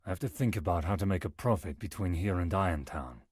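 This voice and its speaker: A man playing a weary, practical travelling merchant in a visual novel, with a husky voice and a wry, muttering manner. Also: deep voice, quick pace, darkish tone, no echo, studio quality. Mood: musing. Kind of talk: visual novel character acting.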